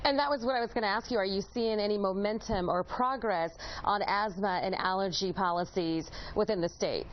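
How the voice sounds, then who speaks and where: A woman's voice: medium pitch, brisk, hardly any pauses. A woman hosting a broadcast-style interview, introducing the subject and putting questions to a guest. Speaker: interview host. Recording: dull lo-fi audio, clearly noisy.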